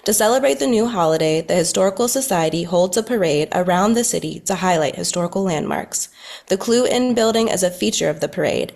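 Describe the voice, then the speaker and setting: Woman narrator, somewhat low register, reading stage directions from a script at a table read. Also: a little mellow.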